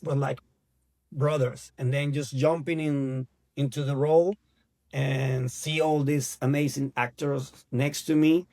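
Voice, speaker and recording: A man in his thirties from Venezuela with a Venezuelan Spanish accent, Spanish as his first language, telling his story as an actor guest in an earnest, halting way. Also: mid-range voice, level tone, vocal fry, dark tone. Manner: earnest, halting non-native English. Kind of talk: casual interview.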